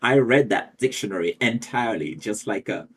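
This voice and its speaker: Husky low-voiced man. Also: expressive, moderate pace. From Haiti, grew up partly in France. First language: Haitian Creole. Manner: soft-spoken, careful, occasional non-native phrasing. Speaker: guest, language teacher. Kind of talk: podcast interview conversation.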